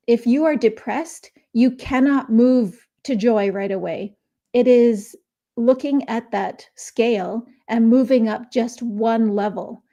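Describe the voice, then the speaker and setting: Middle-aged woman guest, mellow and fairly high, chatty and rambling, over a video call. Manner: chatty, explains at length with many fillers. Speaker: guest author. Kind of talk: casual interview chat. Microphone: remote video call.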